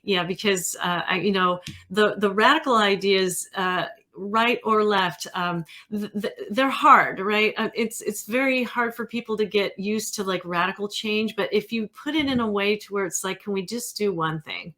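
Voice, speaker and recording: A woman's voice: mid-range voice, moderate pace, few pauses, bright, forceful tone. A woman hosting a casual interview in a chatty, informal way. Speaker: interview host.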